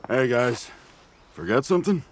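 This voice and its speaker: An actor playing an easygoing, teasing family friend in a drama, with a casual, playful and kind delivery. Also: male voice, medium pitch, very animated, normal speed, a little hiss or hum. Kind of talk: conversational audio drama dialogue.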